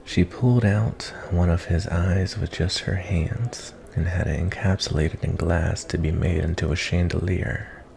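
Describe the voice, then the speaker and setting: Casting: male actor for a kindly cowboy bounty hunter, very low and mellow, folksy and reflective, soft ASMR delivery for a fantasy tavern roleplay. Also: fairly even intonation, a little slow, hardly any pauses, heavily acted. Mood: storytelling, musing. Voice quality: low, gravelly. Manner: folksy, rambling, kindly drawl with cowboy turns of phrase. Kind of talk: ASMR roleplay, softly spoken to the listener.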